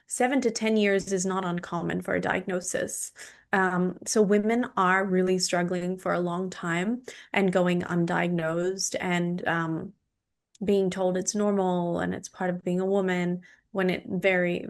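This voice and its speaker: A woman in her thirties, a nutritionist and naturopath from Indonesia, talking through her own health history in a podcast interview, steady and measured with frequent ums. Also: lowish voice. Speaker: guest, clinical nutritionist and naturopath. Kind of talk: podcast interview, personal story.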